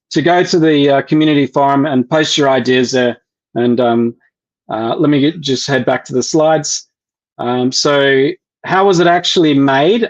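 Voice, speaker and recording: Halting man presenting a tech demo. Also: a little high, highs cut off. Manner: halting, many fillers and restarts. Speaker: developer relations presenter. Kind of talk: live online demo presentation.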